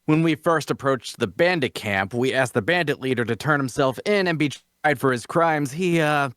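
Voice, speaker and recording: A man's voice: mid-range voice, lively intonation, normal speed, a bit bright, no room sound, clean studio sound, lightly acted. Man acting a grandiose, self-important fantasy adventurer. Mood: grave, dramatic. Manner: grandiose, theatrical storytelling. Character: self-important male adventurer spinning a heroic tale. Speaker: actor at a table read. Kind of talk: dramatic fantasy dialogue reading.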